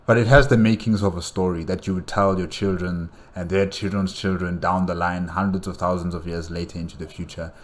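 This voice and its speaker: A man giving casual, halting reaction commentary, rambling and repeating phrases as he goes. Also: low voice, fairly even intonation, a little hiss or hum. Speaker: reaction video host.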